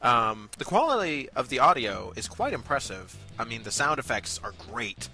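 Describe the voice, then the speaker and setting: A man co-hosting a casual review show, giving measured, agreeable commentary with some hesitation. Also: medium pitch, sing-song, bright, forceful tone, soft background music. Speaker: co-host of an amateur review show.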